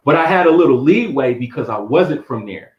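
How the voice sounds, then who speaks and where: A man's voice: medium pitch, lively intonation, brisk. Slangy Southern man in a roomy call. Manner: laid-back storyteller, slangy, laughs often. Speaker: interview guest. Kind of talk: casual interview chat. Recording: echoey muffled call audio.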